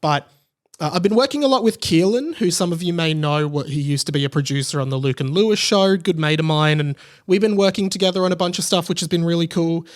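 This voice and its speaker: Higher-voiced Australian man hosting a podcast. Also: fast talker. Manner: rambling, tangent-prone, self-interrupting. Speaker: podcast host. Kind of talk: casual solo podcast chat.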